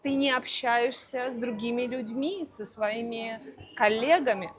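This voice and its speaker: A woman language teacher answering interview questions for learners, speaking slowly and deliberately with careful articulation. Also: on the high side, slight background noise. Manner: slow, deliberate, clearly articulated.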